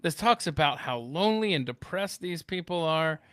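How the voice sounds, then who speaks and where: An American man co-hosting a podcast, bantering casually and reacting out loud. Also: a little high, expressive, normal speed, clean tone. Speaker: podcast host.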